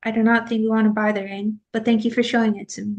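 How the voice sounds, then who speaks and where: A woman's voice: a little high, flat delivery, fast talker, darkish tone, lo-fi sound, close to an everyday voice. A woman playing a dignified, guarded man in exile, formal and reserved, in an amateur script read-through. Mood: guarded, polite.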